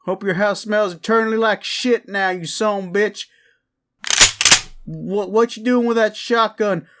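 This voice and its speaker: Man playing a high-voiced, petty, vengeful guy. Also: fairly even intonation, a little slow, fairly bright tone, a little hiss or hum. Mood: gloating, spiteful. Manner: indignant, blunt, cursing. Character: petty, vengeful, foul-mouthed man furious at his neighbour. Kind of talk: comic scene in audio roleplay.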